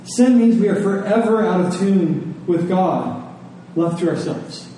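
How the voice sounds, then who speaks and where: A man's voice: sits high, animated, measured pace, dark tone. A middle-aged man preaching a sermon in an earnest, conversational way, repeating phrases for emphasis. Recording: echoey room, distant mic, faint noise.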